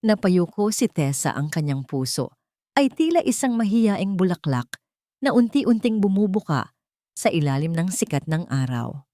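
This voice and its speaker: A woman narrating a dramatic romance story in an earnest, sentimental, measured way. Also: lowish voice, big pitch swings, dark tone, no echo, clean studio sound. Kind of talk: dramatic scripted narration of a Tagalog love story.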